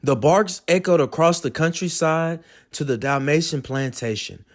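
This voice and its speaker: A man reading a children's storybook aloud as host, in an expressive, dramatic storytelling manner. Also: on the high side, normal speed.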